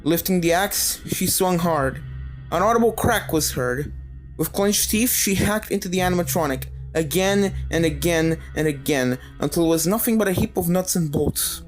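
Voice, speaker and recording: A woman's voice: fairly low pitch, expressive, moderate pace, soft background music. A woman reading a scripted story aloud as a dramatic narrator, telling the tale in character-driven prose. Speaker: fan fiction narrator.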